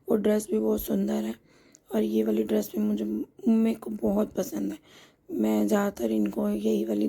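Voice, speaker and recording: A hoarse woman vlogger narrating her daily home routine in a chatty, homely way, explaining each step as she goes. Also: mid-range voice, dark tone. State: hoarse from a sore throat.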